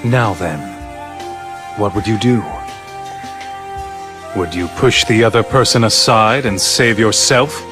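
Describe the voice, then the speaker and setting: Male game villain-leader: low, very slow, courteous, eloquent, persuasive. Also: music in the background. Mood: grave, persuasive. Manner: formal, measured, eloquent, grandiose. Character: calm, persuasive male leader behind a grand scheme. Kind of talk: dubbed video game cutscene acting.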